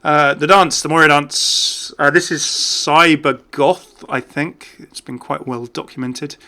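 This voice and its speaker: A man's voice: medium pitch, pushed and bright. A man giving casual, off-the-cuff game commentary as a YouTuber, chatting and thinking aloud as he goes. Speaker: gaming YouTuber.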